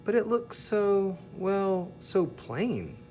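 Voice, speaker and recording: A man voicing an earnest, polite, admiring hermit crab in a children's storybook, with courteous, expressive delivery. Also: high-pitched, drawn-out words, faint music behind, voice pushed up, heavily acted. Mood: wistful.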